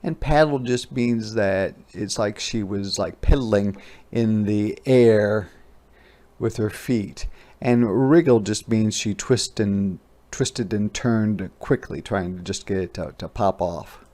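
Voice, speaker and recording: A man reading a children's story aloud as a teacher, pausing to explain words and ask questions, stretching key words. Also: on the low side, dark tone. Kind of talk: interactive children's read-aloud.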